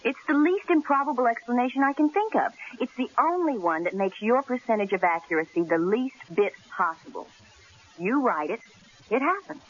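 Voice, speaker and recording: Animated, earnest newspaper secretary, old radio. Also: female voice, a little high, moderate pace. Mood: earnest, uneasy. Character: sharp, earnest female newspaper secretary, affectionate but uneasy. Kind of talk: radio drama acting. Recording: muffled old radio broadcast with hiss.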